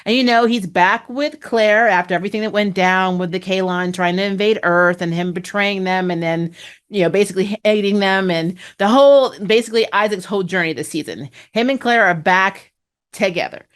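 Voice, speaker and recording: A woman hosting a casual spoiler review of a TV episode, chatty and amused, laughing often and filling pauses with "um" and "you know". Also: medium pitch, smooth. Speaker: TV review host.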